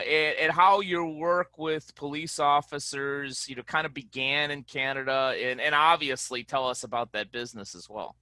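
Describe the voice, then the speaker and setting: A man's voice: on the high side, sharp tone, dry recording. A man hosting a talk show, friendly and casual, laughing easily and rambling into asides. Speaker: podcast host.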